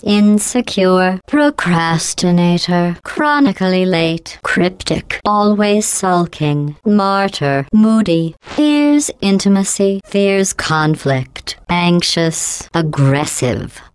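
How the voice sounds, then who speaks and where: A woman voicing a cold, sarcastic female AI that runs test chambers, delivering mocking insults in a clipped, deadpan game voice. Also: fairly low pitch, slow pace, few pauses, clear, resonant tone, no room sound. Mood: snide reading. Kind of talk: video game voice clips.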